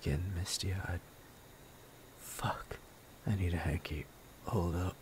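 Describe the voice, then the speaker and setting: A man voicing a sadistic male bandit captor in an ASMR roleplay, low and gravelly, often whispering in a menacing, playful way. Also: steady tone, slow, slight background noise. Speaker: voice actor.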